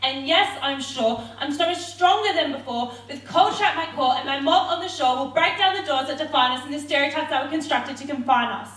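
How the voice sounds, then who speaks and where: A woman's voice: high-pitched, a little fast, few pauses, a bit bright. A young woman performing spoken word poetry with a rhythmic, defiant delivery. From Australia. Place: theatre stage. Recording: roomy hall, mic at a distance.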